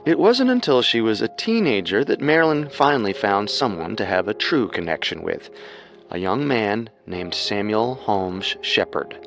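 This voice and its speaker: Low-voiced man narrating true crime. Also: very animated, moderate pace, faint music behind. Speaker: podcast co-host.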